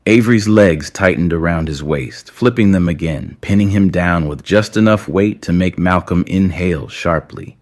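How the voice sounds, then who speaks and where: A man narrating scripted romance fiction in a measured, deliberate way with dramatic pauses. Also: low voice, a little mellow. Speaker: audio story narrator.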